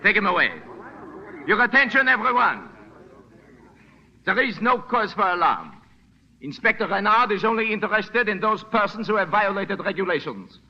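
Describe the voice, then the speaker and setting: An actor playing an officious French colonial police lieutenant in 1940s radio drama, clipped and commanding. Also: male voice, sits high, expressive, normal speed, pauses often. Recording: muffled, noisy old radio broadcast.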